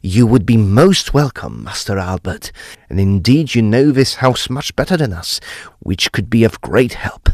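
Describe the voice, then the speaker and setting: Courteous gentleman detective, male actor, low and gravelly, formal and theatrical, for period audio drama. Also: very animated, a little slow, no echo, studio quality, full character voice. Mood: measured, probing. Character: measured, courteous gentleman ghost investigator.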